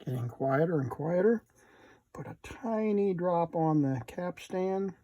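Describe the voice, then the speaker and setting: A man hosting a casual hands-on repair video, unhurried and murmuring to himself as he works. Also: sits high, lively intonation, warm tone, no echo. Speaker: host of a repair video channel.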